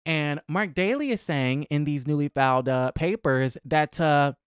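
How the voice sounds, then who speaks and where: A man's voice: a little high, a little slow, clear, resonant tone, no echo. A young man giving casual, conversational celebrity gossip commentary in a sassy, emphatic, slangy way, close on a podcast microphone in a small room at home. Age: young adult. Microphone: close boom-arm podcast microphone.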